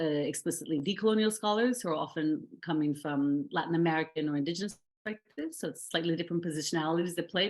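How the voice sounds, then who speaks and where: Thoughtful woman academic, lowish voice. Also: a little mellow. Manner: thoughtful, many fillers and restarts. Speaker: researcher. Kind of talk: reflective interview talk about her academic path.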